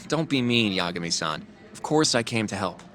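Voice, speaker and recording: A man's voice: fairly low pitch, lively intonation, moderate pace, fairly bright tone, soft background music. An actor playing an earnest, polite young male colleague in a video game, mild and a little hesitant in conversation. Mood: curious, helpful.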